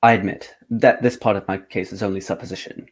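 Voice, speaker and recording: A man playing a confident, methodical gentleman detective in an old-time radio drama, with a formal, theatrical delivery. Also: lowish voice, steady tone, fairly quick, close to an everyday voice. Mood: assured.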